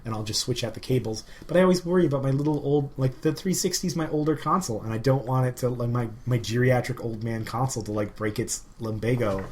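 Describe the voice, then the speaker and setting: A man's voice: mid-range voice, quick pace, few pauses, a little mellow. A man chatting casually as a podcast co-host, joking and wandering into informal asides. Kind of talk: casual chat.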